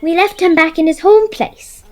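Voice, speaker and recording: A woman's voice: very high voice, normal speed. A female actor playing a brave young Irish girl in a children's audio drama, telling of her adventures. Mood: earnest, happy.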